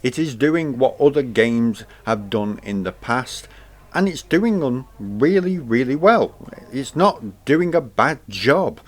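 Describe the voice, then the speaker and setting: Man narrating a game review with rising and falling phrases. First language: English. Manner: lively delivery, pitch rising at the start of each phrase and dropping at the end.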